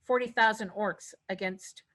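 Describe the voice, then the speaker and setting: A woman's voice: mid-range voice, fairly even intonation, moderate pace, fairly bright tone. A woman reading stage directions as narrator in a scripted fantasy table read.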